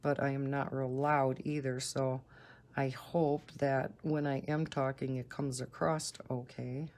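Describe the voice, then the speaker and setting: A woman with a Northern American accent and a low, soft voice, chatting casually and unhurriedly. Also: little pitch movement. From northern United States. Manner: unhurried, deliberately slow talking. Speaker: coloring video host. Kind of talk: casual rambling chat while coloring.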